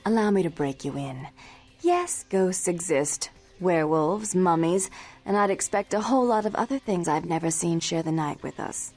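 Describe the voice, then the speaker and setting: A woman's voice: lowish voice, normal speed, darkish tone. A woman voicing a haughty, controlling vampire nightclub owner in a video game, prim and condescending. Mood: businesslike, imperious. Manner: prim, condescending, formal diction. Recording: music behind.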